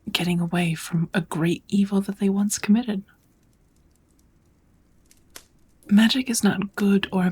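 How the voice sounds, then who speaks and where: Woman doing a kind witch teacher for asmr, breathy half whisper, lowish voice, gentle and patient. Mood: calm, instructive. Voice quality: soft, breathy, often whispered. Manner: gentle, measured, encouraging teacher.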